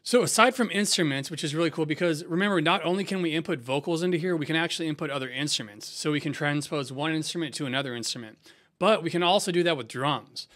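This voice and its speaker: A man in his thirties giving a casual music software review, recorded close up in a small home studio. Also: on the high side, quick pace. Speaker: music production tutorial host.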